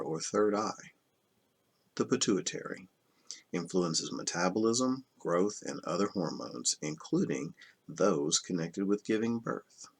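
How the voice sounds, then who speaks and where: A man reading a self-help book aloud as scripted narration, in a measured, instructional manner that stresses key terms. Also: fairly low pitch, creaky, a bit bright, husky. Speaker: audiobook reader.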